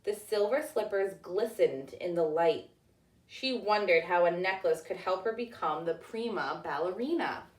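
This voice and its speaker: A woman narrating a children's storybook as a teacher reading aloud, with deliberate, expressive delivery. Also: fairly low pitch, recorded from a distance. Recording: echoey room, away from the mic.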